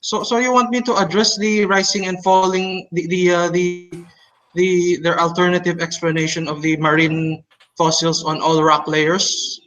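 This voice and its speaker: A man speaking with a Chinese and Tagalog accent, his voice sitting fairly high. He stops and restarts often, filling gaps with "uh" while laying out his rebuttal on a casual podcast call. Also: little pitch movement, normal speed, a bit bright, poor audio. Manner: hesitant, many fillers and restarts, mocking laughs. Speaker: co-host.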